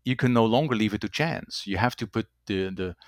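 Low-voiced man, halting speech. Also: dry recording, clean studio sound. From Belgium. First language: Dutch. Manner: halting, repeats words, tags sentences with "right?". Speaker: company executive guest. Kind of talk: conversational interview answers.

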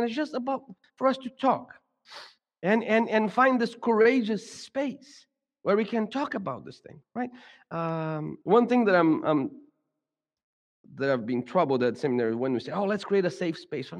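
Brazilian man speaking in a reverberant hall. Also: high-pitched. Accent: Brazilian Portuguese. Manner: halting, many repeated words and restarts. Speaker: speaker at a church gathering. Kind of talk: reflective talk to a congregation.

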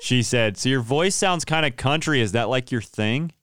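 A man with a naturally low, smooth voice talks through a story in a relaxed interview. He tells it with energy and plays out each line of dialogue. The sound is close and dry. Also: moderate pace, no echo. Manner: animated storyteller, acts out quoted dialogue, laughs often. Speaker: worship pastor and podcast host, interviewed as guest.

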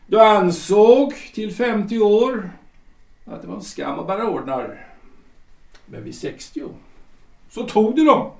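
Guy doing a teasing afterlife guide for an audio drama, high lively voice, echoey room. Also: gravelly. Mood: probing. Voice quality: probing, teasing, reads out verdicts with pauses. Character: wry male afterlife guide who judges the dead. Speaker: guide on the Isle of the Dead. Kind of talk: amateur audio drama acting.

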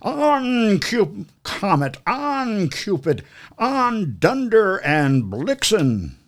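Man putting on a high, drawn-out Santa calling reindeer. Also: very animated, heavily acted. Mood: rousing. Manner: drawn-out, exclaiming calls. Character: Santa Claus calling out to his reindeer team.

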